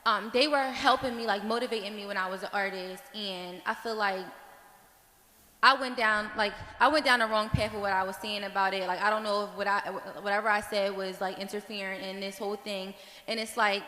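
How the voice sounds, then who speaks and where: A woman's voice: medium pitch, pushed and bright. A woman in the audience giving an impassioned, rambling comment at a panel, searching for words between fillers. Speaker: audience member speaking at a panel. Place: large echoey hall. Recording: echoey hall with long reverb.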